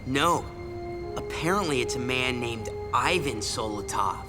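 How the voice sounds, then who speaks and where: An actor playing an excitable, friendly young male survivor in a video game cutscene. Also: mid-range voice, a little slow, lightly acted. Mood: earnest, explaining. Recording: music clearly under the voice.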